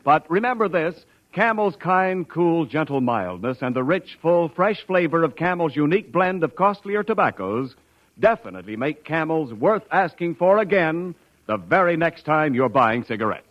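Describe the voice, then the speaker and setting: A male radio announcer delivers scripted copy in emphatic 1940s style. The pitch sits a little high and moves in wide, lively swings. The recording is an old, dull-sounding broadcast. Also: moderate pace.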